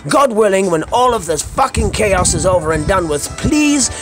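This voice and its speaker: A Scottish man with a notably high voice delivers a comic rant straight to his listeners. He is theatrical and foul-mouthed, leaning on colourful slang. Music plays clearly beneath him. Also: lively intonation, normal speed. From Scotland. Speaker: podcast host. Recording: music under the voice.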